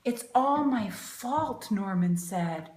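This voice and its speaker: A woman's voice: medium pitch, animated, slow, darkish tone, reverberant. A middle-aged woman reading a picture book aloud to children, exclaiming and asking them questions as she goes. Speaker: storybook reader and educator.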